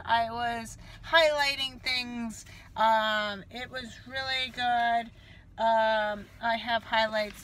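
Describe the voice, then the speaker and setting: Slow, bright-voiced woman with a cold. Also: on the high side, a little hiss or hum. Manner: relaxed, filler-heavy, unhurried. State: slight cold, a little nasal. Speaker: book reviewer. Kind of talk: casual book review vlog.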